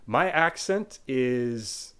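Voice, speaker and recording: Socal guy guesting on a chat, animated, thinks out loud, mid-pitch voice. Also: extremely slow, clean tone. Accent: Southern Californian. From Southern California. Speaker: guest, online video creator. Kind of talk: casual interview chat.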